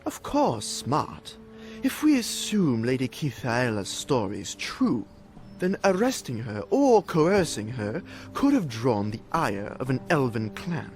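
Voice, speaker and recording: An animated, canny castle sergeant. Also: male voice, medium pitch, measured pace. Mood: wry, reasoning. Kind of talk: video game cutscene acting. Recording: game music behind the voice. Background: game music.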